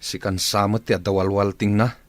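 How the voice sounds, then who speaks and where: Actor playing stern, questioning man, low-pitched. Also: level tone, brisk, no echo, natural voice. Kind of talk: radio drama acting.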